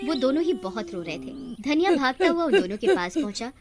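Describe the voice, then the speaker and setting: A woman's voice: high voice, very animated, a little fast. A woman narrating a scripted moral story as a storyteller.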